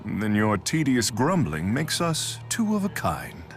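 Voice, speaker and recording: A grave, dignified king in a video game. The low voice is formal and measured, deadpan in tone yet swinging widely in pitch, with music clearly behind it. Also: exaggerated voice. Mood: grave, dry. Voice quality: deep. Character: stoic, proud exiled king, grave and dignified. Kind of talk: scripted game dialogue.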